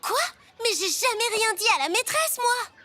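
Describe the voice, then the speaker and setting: A woman's voice: very high voice, lively intonation, normal speed, sharp tone, a little hiss or hum, exaggerated voice. A woman voicing an earnest, bossy schoolgirl in a French-dubbed children's cartoon, emphatic and easily indignant. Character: earnest, bossy schoolgirl directing a class play.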